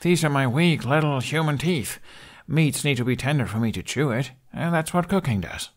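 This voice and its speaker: A man's voice: mid-range voice, expressive, normal speed, pitched above the performer's own voice, close to an everyday voice. A man voicing a wry, playful, scrawny teenage boy with sarcastic animation in an audiobook. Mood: exasperated, teasing. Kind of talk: audiobook character acting.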